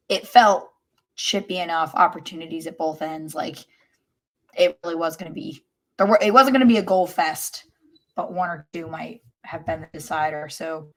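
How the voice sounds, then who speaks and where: A woman on a soccer podcast giving casual match analysis in conversational talk. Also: fairly low pitch.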